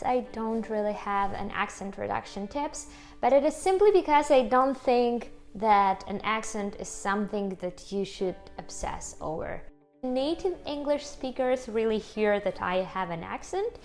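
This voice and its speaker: A woman with a strong Ukrainian accent, chatting casually to the camera in her home kitchen, upbeat and laughing often as she talks to her viewers. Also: sits high. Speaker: YouTuber.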